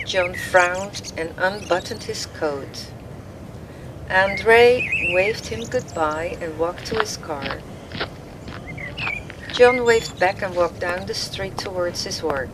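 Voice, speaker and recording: A woman's voice: lowish voice, takes it slowly. A woman reading scripted narration for an audio drama, with ambient sound effects behind her. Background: faint noise and ambient sound effects.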